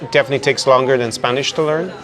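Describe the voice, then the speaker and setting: A fast-talking man hosts a casual interview out in the city, his words tumbling out with quick restarts. A busy crowd murmurs clearly behind him. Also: medium pitch, music in the background. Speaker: podcast host.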